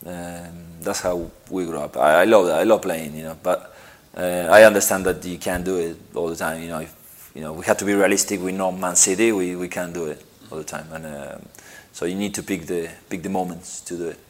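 A middle-aged man from Argentina answering interview questions, halting and repeating himself, with lots of "you know". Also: low voice, steady tone. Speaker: interviewed footballer.

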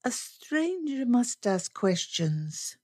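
A woman's voice: fairly high pitch, big pitch swings, takes it slowly, darkish tone, dry recording, studio quality, pitched above the performer's own voice, full character voice. A woman voicing a poised, grave young foreign lady in an audiobook, with quaint formal phrasing and a subtly teasing manner. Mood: formal, earnest.

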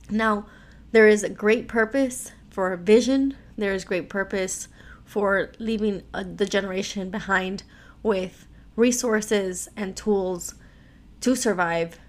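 Raspy American woman hosting a devotional. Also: mid-range voice. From Miami, Florida, United States. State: hoarse from allergies. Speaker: devotional podcast host.

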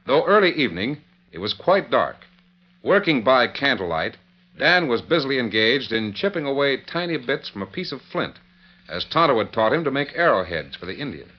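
A man's voice: mid-range voice, big pitch swings, moderate pace. A man narrating a western radio serial in a grand, declamatory, dramatic style. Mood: measured storytelling. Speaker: announcer and narrator. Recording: dull vintage radio recording over music.

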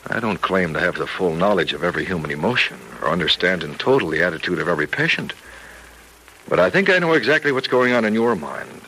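Deep, earnest radio-drama doctor. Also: male voice, big pitch swings, fast talker, bright, forceful tone, heavily acted. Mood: earnest, insistent. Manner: patient, persuasive, professional. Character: earnest, firm, reassuring male doctor. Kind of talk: old-time radio serial drama acting. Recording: noisy vintage radio drama recording.

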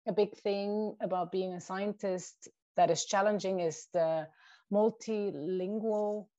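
A woman's voice: medium pitch, steady tone, slow, mellow, dry recording. Swiss German woman, dull dry audio. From Switzerland. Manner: thoughtful, listing points with fillers and restarts. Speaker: shark scientist guest. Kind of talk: interview answers.

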